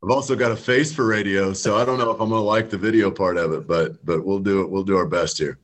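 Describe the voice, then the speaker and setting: A man, a high school football coach, talking as a guest over a video call, rambling with many fillers and restarts. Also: fairly even intonation, fast. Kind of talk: casual radio interview.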